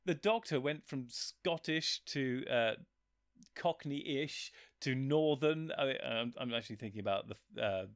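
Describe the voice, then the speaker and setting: A man's voice: mid-range voice, a little slow, no room sound, studio quality. A man chatting casually as a guest, talking enthusiastically and wandering off on tangents. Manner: enthusiastic, rambling and digressive. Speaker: guest, writer and voice actor. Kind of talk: casual chat.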